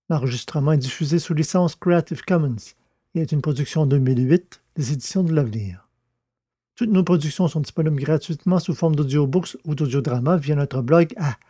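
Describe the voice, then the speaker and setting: A man's voice: a little high, mellow, husky, dry recording, clean studio sound. A man reading scripted narration for a science-fiction audio drama in French.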